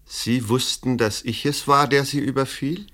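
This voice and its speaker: A man's voice: mid-range voice, fairly even intonation, a little slow, a bit bright, close to an everyday voice. An actor playing a desperate, cornered policeman turned murderer in a radio play, tense and bitter. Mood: shaken, accusing.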